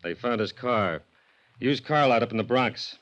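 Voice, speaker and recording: A man playing a gruff, determined police sergeant in a 1950s radio drama, speaking in a terse, offhand way. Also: medium pitch, animated, normal speed, a bit bright, close to an everyday voice. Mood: businesslike. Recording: dull vintage radio recording, faint noise.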